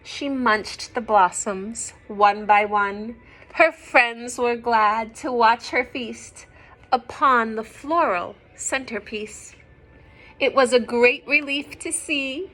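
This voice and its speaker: A woman reading a picture book aloud to young children, expressive and gentle, often dropping into hushed asides to her listeners. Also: on the high side, slow pace, low-quality recording. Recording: some background noise.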